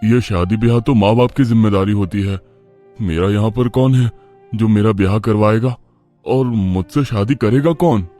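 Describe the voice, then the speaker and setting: A modest, tired young working man, played by a male actor, in a storybook cartoon. He talks respectfully in a lowered voice over music. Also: moderate pace, dark tone, natural voice. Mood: humble, hesitant. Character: humble, tired, good-natured young working husband.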